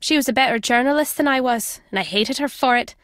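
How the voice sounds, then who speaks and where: An older British former spy and journalist in a video game. Her voice sits fairly high, in a dry, close recording. She is wry and guarded, teasing yet fond as she speaks. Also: quick pace, fairly bright tone, no echo. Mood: wistful, grave. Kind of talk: video game character dialogue.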